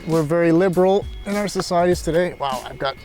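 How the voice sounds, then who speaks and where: Reflective man, slightly high voice, thinking aloud. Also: brisk, a little mellow, soft background music. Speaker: philosophy tutor vlogger. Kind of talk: casual rambling monologue while walking. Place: outdoors, walking.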